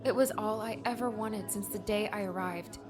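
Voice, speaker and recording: A woman's voice: medium pitch, fairly even intonation, moderate pace, close to an everyday voice. An actress playing an earnest, homesick young woman adventurer in an audiobook drama. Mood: regretful, resolute. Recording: music underneath.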